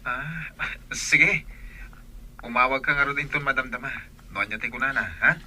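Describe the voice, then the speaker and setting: A man's voice: medium pitch, moderate pace, pushed and bright. A man playing a courteous young suitor calling with an invitation in an audio drama. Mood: inviting.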